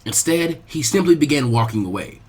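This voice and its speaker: A man's voice: mid-range voice, lively intonation, fairly quick, darkish tone, slightly rough, rough recording. A man reading a scripted story aloud as a dramatic narrator. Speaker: narrator of fan fiction readings. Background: music.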